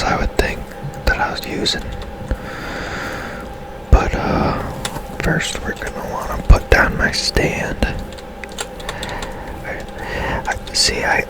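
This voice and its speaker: A man speaking in a breathy, unvoiced whisper, giving casual, unhurried ASMR commentary as he plays a game. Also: low-quality recording. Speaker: gaming ASMR host.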